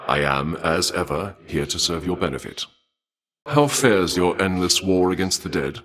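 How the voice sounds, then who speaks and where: A male god of war from a fantasy video game, with a deep, low voice. He is refined and bloodthirsty, choosing formal, old-fashioned phrasing. The delivery is deliberate and theatrical, with menace kept under impeccable politeness. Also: fairly bright tone, full character voice.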